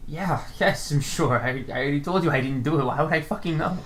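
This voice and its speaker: A man's voice: fairly high pitch, fairly quick, a bit bright, a little hiss or hum, lightly acted. A man playing a distraught, defensive murder suspect who stammers and repeats himself under questioning in an audio drama. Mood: defensive, rattled. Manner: stammering, repeating words, flustered. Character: distraught male murder suspect, nervous, defensive, pleading innocence. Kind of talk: audio drama interrogation acting.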